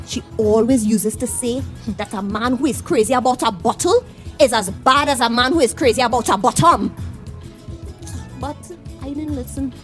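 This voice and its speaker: A woman's voice: fairly high pitch, moderate pace, a bit bright, faint music behind, close to an everyday voice. A woman acting a small, harassed, weary mother and neighbour in a radio play, plaintive and confiding, with a Trinidadian accent. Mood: bitter, heavy-hearted.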